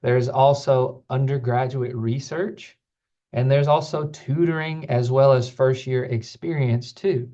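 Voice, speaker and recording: A man with a mellow, relaxed voice at a middle pitch gives an informational talk. He explains things earnestly and repeats words for emphasis. The sound is a slightly muffled recording from an online call. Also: unhurried. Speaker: student program staff presenter. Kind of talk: informational presentation to families. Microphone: online call microphone.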